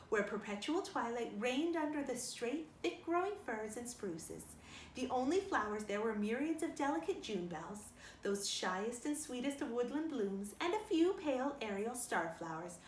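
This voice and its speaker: Female storybook narrator, higher-pitched and very lively, recorded in an echoey room. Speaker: storybook reader. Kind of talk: expressive scripted audiobook narration. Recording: roomy, distant mic, faint noise.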